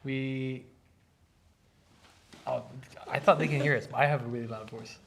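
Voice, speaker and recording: A man's voice: mid-range voice, steady tone, normal speed, gravelly, fairly bright tone. A man, an art instructor, chatting casually on a live stream and explaining things at length with many restarts. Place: art academy studio.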